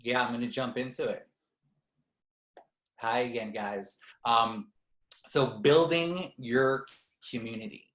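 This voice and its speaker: A man leading a nonprofit, talking in a warm, rambling way with many fillers on a video call. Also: medium pitch, takes it slowly, pauses often, reverberant. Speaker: nonprofit leader. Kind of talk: webinar presentation.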